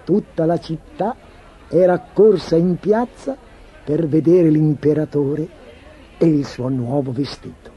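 A man's voice: on the high side, slow, mellow, breathy edge. A man narrating a scripted fairy tale for children as a storyteller. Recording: dull, muffled lo-fi track. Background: music.

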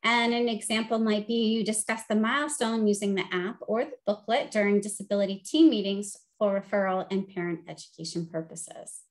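A middle-aged woman with a high-pitched voice, teaching a webinar over a video call with an upbeat, friendly delivery full of "um"s. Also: brisk, hardly any pauses. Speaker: presenter and teacher. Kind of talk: instructional webinar presentation. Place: home office, video call.

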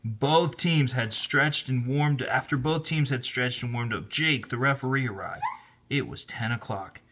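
A man reading a children's story aloud in an expressive, deliberate storytelling manner, with casual asides. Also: medium pitch, husky. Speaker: storybook reader, teacher. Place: indoors, filming the book held in hand.

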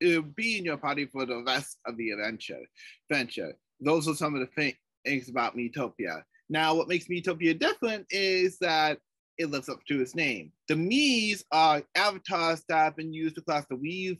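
A man's voice: on the high side, fairly bright tone, no echo. A man casually explaining over a video call, stumbling over restarts as he goes. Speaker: let's play project co-organizer.

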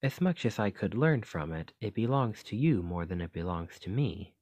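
ASMR roleplay: courteous, fascinated scholar-archaeologist, played by a man, fairly low pitch, articulate and thoughtful, dry recording. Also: lively intonation, normal speed, darkish tone, slightly rough, no room sound. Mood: kindly, earnest. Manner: formal, articulate, musing delivery.

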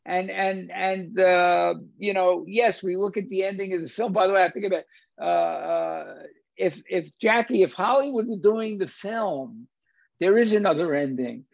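A man's voice: high-pitched, moderate pace, vocal fry, no echo. An older man hosting a film discussion on a video call, emphatic and repeating phrases for stress. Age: older, 60s or 70s. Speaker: film discussion host and lecturer. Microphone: video call, computer at desk distance. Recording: dull lo-fi video-call audio.

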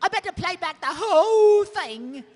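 A man's voice: extremely high pitch, animated, measured pace. A man voicing a dim, cheerful cartoon boy mouse in a comic live script reading. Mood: curious.

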